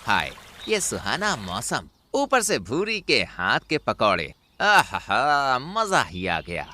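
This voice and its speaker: A man's voice: medium pitch, wildly swooping pitch, takes it slowly, pushed and bright, heavily acted. A man voicing a mischievous, greedy crow in a cartoon story, with an exaggerated, self-satisfied manner. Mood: smug, relishing. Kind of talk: Hindi animated cartoon story acting.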